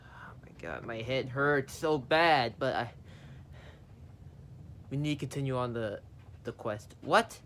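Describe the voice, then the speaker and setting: Slow-talking man in a toy drama. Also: mid-range voice, fairly bright tone, a little hiss or hum. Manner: slow, plain conversational delivery. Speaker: plush-toy video creator voicing characters. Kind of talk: amateur plush-toy drama dialogue.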